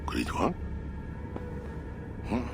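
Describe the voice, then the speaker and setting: A man's voice: takes it slowly, lightly acted. An actor playing a haughty, unhinged and pious medieval king in a video game, with an imperious, theatrical way of muttering and declaiming. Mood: sly, brooding. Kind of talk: video game cutscene drama. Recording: loud music behind.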